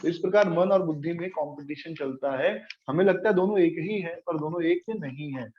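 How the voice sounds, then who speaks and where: A man's voice: fairly high pitch, moderate pace. A man giving an explanatory spiritual lecture as a teacher, asking his listeners rhetorical questions and mixing in English terms. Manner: asks the listeners rhetorical questions and checks they follow. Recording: echoey room, dull lo-fi sound, faint noise.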